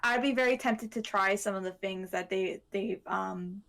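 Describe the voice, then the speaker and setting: A woman co-hosting a podcast, explaining her thoughts haltingly in casual discussion. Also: mid-range voice, vocal fry, fairly bright tone. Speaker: podcast co-host.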